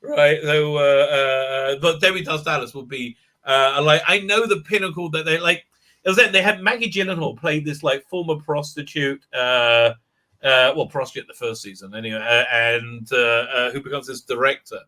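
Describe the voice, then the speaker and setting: A man's voice: medium pitch, moderate pace, pushed and bright. A man hosting a casual livestream call, rambling through chat messages with lots of fillers and restarts. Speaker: livestream host.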